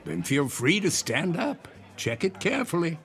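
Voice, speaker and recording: An actor playing a sly, teasing and mysterious gentleman in a radio drama, with formal, theatrical diction. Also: on the high side, wildly swooping pitch, normal speed, faint music behind.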